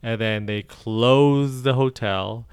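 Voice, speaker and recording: In a rich style: a man chatting on a podcast at a middling pitch. His voice is smooth and clean. It sits close and dry on the mic, and he stops and restarts as he goes. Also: dry recording. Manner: halting, recounting with many restarts. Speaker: podcast co-host. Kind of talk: casual chat.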